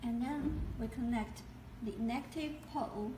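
A woman giving scripted instructional narration as a product demonstrator, in a deliberate step-by-step way. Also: fairly high pitch. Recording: echoey, distant mic, noisy background.

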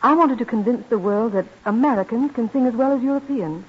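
A young American opera star, high and husky in voice, modest yet proud. She speaks with polite, formal earnestness in old-time radio drama style, on a muffled vintage recording. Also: fairly quick. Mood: earnest, proud. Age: young adult.

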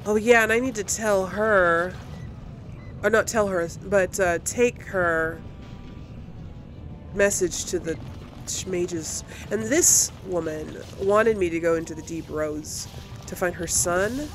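A woman's voice: lowish voice, slow pace. Casual female gamer thinking aloud over music. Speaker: gaming host. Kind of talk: casual let's play commentary. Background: game music.

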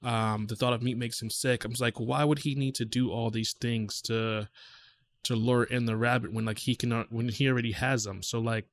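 A man in his thirties giving casual reaction commentary, conversational and reflective, speaking haltingly into a close desk microphone in a small home studio. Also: mid-range voice, steady tone. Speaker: music reaction host.

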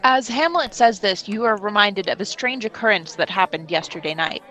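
A woman's voice: medium pitch, lively intonation, moderate pace, fairly bright tone, rough recording. A woman narrating a tabletop role-play podcast as game master, theatrical and slangy in her delivery.

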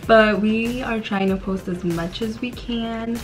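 A woman's voice: a little high, fairly even intonation, unhurried, very noisy. A woman co-hosting a casual Q&A in a small room, joking in a playful, teasing way. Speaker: co-host of a channel Q&A. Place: small dim bedroom, indoors.

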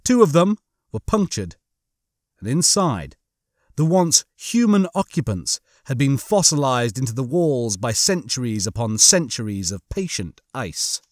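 A man's voice: mid-range voice, big pitch swings, measured pace, no room sound, clean studio sound. A man reading a science fiction audiobook in a theatrical, suspenseful way. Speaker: audiobook narrator. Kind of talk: dramatic scripted narration of comic science fiction.

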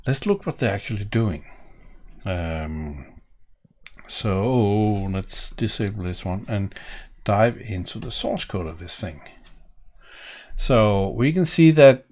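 Danish guy doing a coding tutorial, lowish voice, talks real slow, muses as he types, keyboard clicks behind. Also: lively intonation, a little mellow. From Denmark. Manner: musing, thinking aloud while typing. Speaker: programming tutorial host. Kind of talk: live coding walkthrough. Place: home office, indoors. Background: keyboard typing and clicks.

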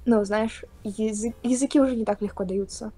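A woman's voice: a little high, moderate pace, dry recording, soft background music. Young Russian-born woman on a video call. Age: young adult. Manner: chatty, animated hand gestures. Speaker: guest. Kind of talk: casual video-call chat. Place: indoor room, plain wall.

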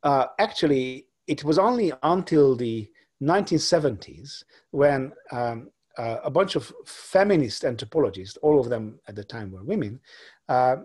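A man in his forties from Hungary, giving an online lecture from a home room over a laptop webcam, talking haltingly with many fillers and restarts. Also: medium pitch, expressive, darkish tone, slightly rough. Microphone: laptop webcam on video call.

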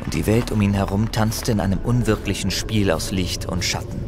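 A man's voice: on the low side, moderate pace. A man narrating a fantasy audio play in a dramatic, scripted storytelling style. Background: loud music.

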